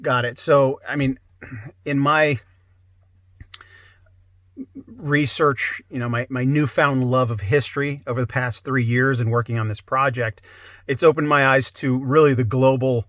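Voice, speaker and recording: A man's voice: medium pitch, fairly even intonation, a little slow, long pauses, fairly bright tone, no room sound. Man hosting a podcast, very dry sound. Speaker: podcast host. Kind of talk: conversational interview.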